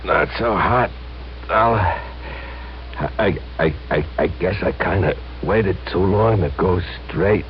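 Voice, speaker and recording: Slow, fading small-time crook. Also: male voice, sits low. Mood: weak regret. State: weak, labored, fading. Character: remorseful, weakened small-time male crook. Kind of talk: old-time radio crime drama acting. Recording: muffled, slightly noisy old radio recording.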